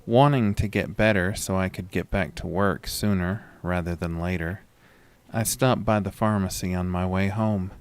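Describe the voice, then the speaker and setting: Man, low pitch, scripted first-person horror narration. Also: clean tone.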